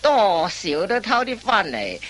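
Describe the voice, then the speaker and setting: Crafty master thief for radio comedy, unhurried lecturing, voice goes up and down a lot, lots of little interjections. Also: female voice, mid-range voice, sing-song, fairly bright tone. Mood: coaxing, lecturing. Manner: instructive, drawn-out phrasing full of interjections. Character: wily veteran master thief schooling an apprentice. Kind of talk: Cantonese comic radio play acting.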